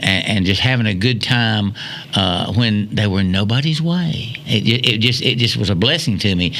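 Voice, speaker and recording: A man's voice: lowish voice, sharp tone. An older man, a guest in a casual chat, reminiscing in a folksy, plain-spoken way. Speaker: interview guest, Rotary club member.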